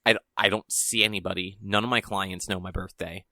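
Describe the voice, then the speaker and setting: Low-voiced man, dry and teasing. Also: fairly even intonation, brisk, sharp tone, no echo. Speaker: podcast co-host. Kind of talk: casual chat.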